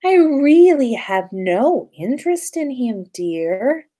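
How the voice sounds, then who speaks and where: Serene, whimsical teen schoolgirl played by a woman, gently teasing, lifted pitch, storybook fantasy. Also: big pitch swings, takes it slowly, exaggerated voice. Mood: amused.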